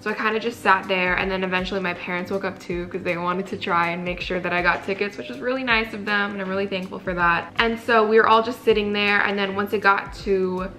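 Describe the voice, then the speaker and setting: A young woman from California chatting casually in a vlog, excitable and full of "like", her voice a bit raspy. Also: medium pitch. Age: young adult. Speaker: incoming college student vlogger.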